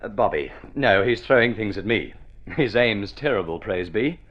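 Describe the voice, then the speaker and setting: An actor playing a flippant, good-natured young wastrel with a breezy, bantering manner, in old radio drama style. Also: male voice, on the low side, animated, normal speed, highs cut off. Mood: teasing. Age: young adult. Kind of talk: vintage Australian radio drama acting.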